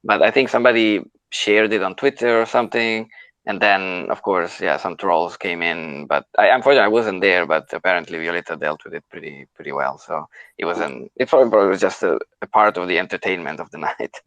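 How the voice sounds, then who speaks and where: A man's voice: low voice, fairly quick, a bit bright, dry recording, poor audio. A man hosting a casual online group discussion, politely moderating who speaks next.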